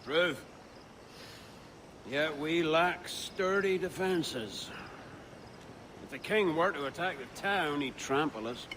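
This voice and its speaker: An actor voicing a bold, boisterous middle-aged pirate captain in a video game, hearty and theatrical with colourful seafaring speech. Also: male voice, a little high, lively intonation, takes it slowly, long pauses. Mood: gruff, scornful. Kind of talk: video game cutscene acting. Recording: noisy game mix with outdoor ambience.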